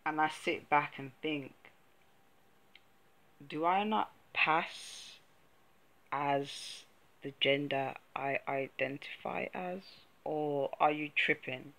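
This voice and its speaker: Young man, slow unhurried delivery, hesitant and frank, lots of ums, casual vlog. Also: a little high, gravelly, bright, forceful tone, low-quality recording. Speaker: vlogger. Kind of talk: casual personal vlog talk.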